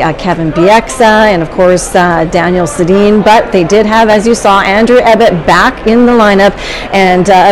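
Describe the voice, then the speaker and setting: A woman in her thirties hosting a sports broadcast from an arena studio, recapping a game in a brisk, upbeat way. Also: lowish voice, background noise. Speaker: studio host. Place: arena studio set with scoreboard behind.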